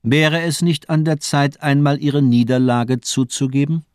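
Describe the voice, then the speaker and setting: A man's voice: a little high, fairly even intonation, a little slow, clean tone, dry recording, clean studio sound, natural voice. An actor playing a sinister, cultivated doctor in a radio crime drama, eloquent and mockingly polite as he toys with his captive. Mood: probing, superior.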